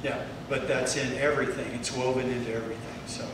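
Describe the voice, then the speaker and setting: An older man giving a presentation to a community meeting, reading excerpts from documents with halting restarts into a handheld mic. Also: mid-range voice, flat delivery. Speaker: speaker. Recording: echoey hall, far mic, noise.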